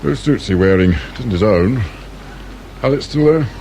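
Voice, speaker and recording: A man's voice: on the low side, animated, moderate pace, darkish tone, a little hiss or hum. An actor playing a grumpy middle-aged police inspector in a radio drama, sarcastic and impatient as he barks orders. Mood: sardonic, brisk. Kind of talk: British radio drama acting.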